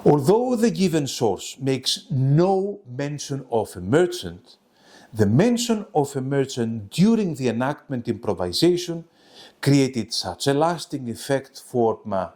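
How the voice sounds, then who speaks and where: A man presenting an academic webinar in a formal, deliberate way, partly reading from a script with emphatic phrasing. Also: medium pitch, very animated, dark tone. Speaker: lecturer presenting a research webinar.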